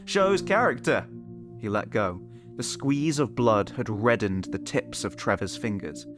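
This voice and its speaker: A man's voice: fairly low pitch, unhurried, music in the background. A man reading scripted audio fiction as the story's narrator.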